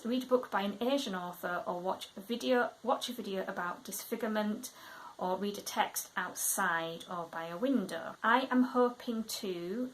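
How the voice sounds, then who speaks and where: Soft-spoken Yorkshire woman talking books. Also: medium pitch, poor audio. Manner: chatty and measured, often trailing off into soft asides. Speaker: book vlogger. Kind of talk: casual book vlog, reading blurbs aloud.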